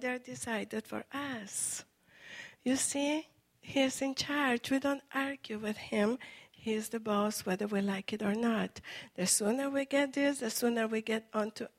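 A middle-aged woman from Costa Rica, a native Spanish speaker with a Costa Rican Spanish accent, preaching a sermon with animated storytelling and asides to her listeners. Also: a little high.

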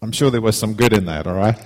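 A man's voice: lowish voice, brisk, darkish tone. A middle-aged man from Zimbabwe, giving an informal, heartfelt sermon as a guest preacher, often repeating words as he starts a phrase.

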